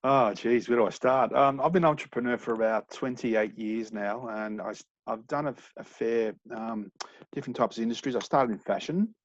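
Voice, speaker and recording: A man introducing himself as an entrepreneur in an online meeting, chatty and relaxed with fillers, heard over dull, lo-fi call audio. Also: mid-range voice, a little fast, no room sound. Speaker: entrepreneur and former lecturer.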